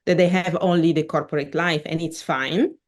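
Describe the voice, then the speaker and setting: A woman's voice: deep voice, normal speed. Woman raised in switzerland, english not her first language, chatty and earnest, lots of restarts. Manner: earnest, animated, with frequent restarts and non-native grammar. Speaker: podcast guest. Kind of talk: casual interview chat.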